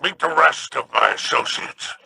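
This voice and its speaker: A man voicing a greedy, sly alien crime boss in a video game, speaking in a haughty, scheming tone with a gravelly growl. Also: a little high, lively intonation, moderate pace, sharp tone, heavily acted.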